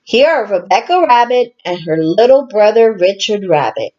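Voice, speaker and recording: A woman teacher reading a storybook aloud and talking simply and deliberately to young children. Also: mid-range voice, sing-song, darkish tone, highs cut off. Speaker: teacher reading to her class.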